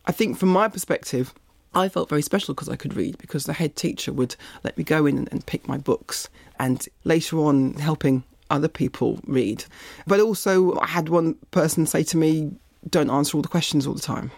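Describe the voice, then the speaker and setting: A woman's voice: low-pitched, moderate pace, vocal fry, few pauses, a little mellow, no echo. Thoughtful middle-aged woman guest. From Barbados, raised in East London. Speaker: interview guest. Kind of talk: reflective conversational storytelling.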